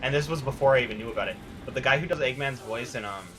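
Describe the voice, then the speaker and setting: A man's voice: mid-range voice, rapid-fire, poor audio. A young man co-hosting a let's play, chatting casually in a rambling, opinionated way while a game runs. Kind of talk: casual chat over gameplay. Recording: game music behind the talk. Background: video game cutscene music.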